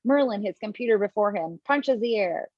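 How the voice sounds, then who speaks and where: A woman's voice: medium pitch, lively intonation, moderate pace, dry recording. A woman reading the stage directions at a video-call table read, now and then laughing at her own slips. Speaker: script reader. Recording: dull lo-fi home mic.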